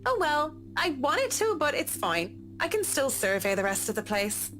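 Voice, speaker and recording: A woman's voice: high voice, big pitch swings, moderate pace, a bit bright, slight background noise. A woman voicing a practical, matter-of-fact young woman in a game. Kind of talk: voiced video game dialogue.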